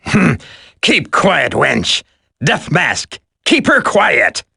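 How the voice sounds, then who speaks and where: A smug, sadistic male terrorist villain from a video game. He taunts slowly, with theatrical swoops of pitch and a cold, mocking drawl. The voice is sharp and gravelly. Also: huge pitch swings, no echo, clean studio sound, full character voice. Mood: mocking menace.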